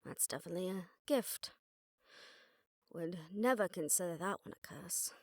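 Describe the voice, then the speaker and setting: A woman's voice: lowish voice, animated, normal speed, darkish tone, dry recording, studio quality. A woman playing a jaded, sardonic witch detective who sees visions of death, talking conversationally to a partner in a roleplay audio drama. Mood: bitter, sarcastic.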